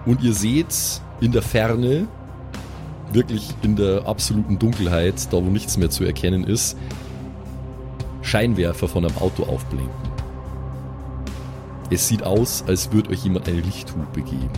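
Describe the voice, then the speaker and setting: A man's voice: low-pitched, unhurried, long pauses. A man improvising descriptive horror narration as game master, feeling out his phrases as he goes. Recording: loud music behind the voice. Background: music.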